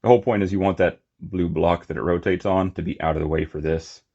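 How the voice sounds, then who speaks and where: A man's voice: sits low, fairly even intonation, fast, mellow, breathy edge, muffled recording. A man giving a casual, unscripted hands-on demonstration as a hobby reviewer, with informal muttered asides. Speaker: toy collector and reviewer.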